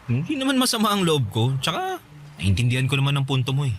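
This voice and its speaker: A man's voice: a little high, huge pitch swings, fast, slight background noise. A man acting a devoted, easygoing young student boyfriend in a radio drama, casual and tender, with a Filipino accent. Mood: agreeable, wistful.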